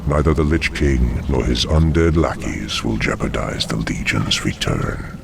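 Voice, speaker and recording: An actor playing a cold, condescending male demon dreadlord with a deep, gravelly demonic voice in a video game cutscene. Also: noisy background, exaggerated voice. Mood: menacing. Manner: slow, condescending.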